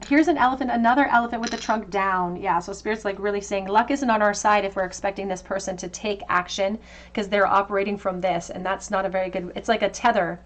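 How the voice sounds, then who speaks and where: A woman reading tarot cards for her viewers, talking in a gentle, conversational, intuitive way. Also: mid-range voice. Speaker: tarot reader. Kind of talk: tarot card reading to camera.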